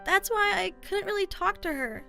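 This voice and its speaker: A woman's voice: very high voice, normal speed, gravelly, bright, forceful tone, faint music behind, full character voice. A woman voicing a polite, frank, shy middle-school girl in a manga dub, speaking earnestly and hesitantly. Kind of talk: anime-style manga dub dialogue.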